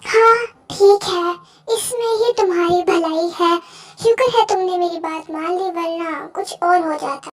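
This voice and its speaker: A very high, small-sounding voice carries a bossy female crow , acted out by a woman storyteller. She scolds and threatens like a nagging neighbour in a children's moral tale, sharp-tongued throughout. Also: steady tone, unhurried. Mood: smug.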